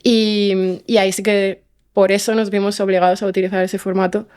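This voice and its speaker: A woman with an accent from Spain chatting casually as an interview guest, halting and often tagging her phrases with little questions. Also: mid-range voice, flat delivery, a little mellow. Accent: Spanish from Spain. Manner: halting, peppered with tag questions. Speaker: guest, audio fiction writer and director.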